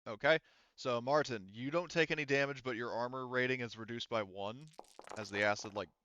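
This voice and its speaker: A man's voice: medium pitch, brisk, pushed and bright, dry recording, highs cut off. A man speaking casually as a game master, narrating the action and explaining rules in an informal, mildly profane way.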